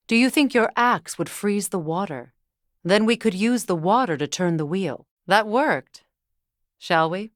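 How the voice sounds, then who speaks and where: Low, guarded warrior goddess, acted by a woman. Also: dry recording, studio quality, close to an everyday voice. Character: guarded, battle-hardened warrior goddess and sorceress, wary ally. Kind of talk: dramatic video game character acting.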